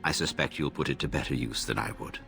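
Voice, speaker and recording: Deep, gravelly, courteous elder. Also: male voice, fast, bright, forceful tone, heavily acted. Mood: sorrowful, resigned. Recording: music behind the voice.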